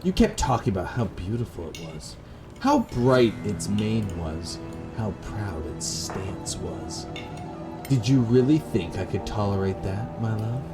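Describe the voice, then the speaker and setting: A man's voice: on the low side, very animated, unhurried, music in the background. A man playing an obsessive lovesick gothic woman. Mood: wistful, accusing. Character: Lilith, obsessive, lovesick, unstable young woman. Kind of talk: gothic horror visual novel dialogue.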